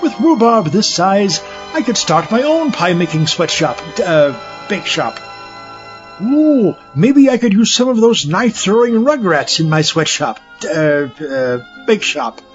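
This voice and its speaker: A dotty, amoral mad scientist with a high, swooping voice and a fussy, pompous manner. He is gleeful and excitable, in a comedic audio drama with music underneath. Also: a little slow. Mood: gleeful scheming. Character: gleeful, pie-obsessed, amoral eccentric older mad scientist. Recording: dull lo-fi audio with music behind.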